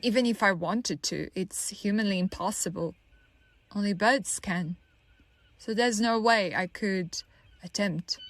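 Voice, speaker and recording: A woman playing a troubled, lonely young woman in an audio monologue, halting, wry and conversational as she muses bitterly. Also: medium pitch, a bit bright. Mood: wistful, hesitant. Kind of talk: dramatic monologue, audio drama.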